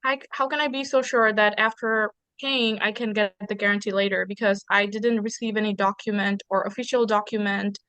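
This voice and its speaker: A woman with a Bangladeshi accent presses her questions as a participant. Her voice is bright and crisp, recorded dry with little room sound. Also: medium pitch, fairly even intonation, fairly quick, few pauses, dry recording. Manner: insistent, questioning.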